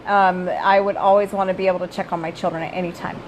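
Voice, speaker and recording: A middle-aged woman who directs a child care center, giving casual live-streamed advice. She is hoarse from losing her voice and keeps checking in with viewers as "you guys.". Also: fairly low pitch, little pitch movement, quick pace, slight background noise, low-quality recording. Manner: chatty, addresses viewers as "you guys", often tags "right?".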